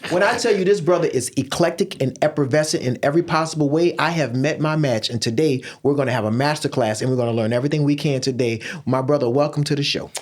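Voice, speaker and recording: A man's voice: a little high, fast, few pauses, darkish tone. A man hosting an interview podcast, speaking in an emphatic, motivational, preachy way. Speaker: podcast host.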